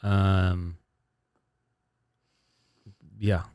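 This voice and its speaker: Easygoing, low-key man from the Bay Area. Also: level tone, very slow, a little mellow, clear, resonant tone, no echo. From San Francisco Bay Area. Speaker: comedian guest. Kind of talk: casual chat.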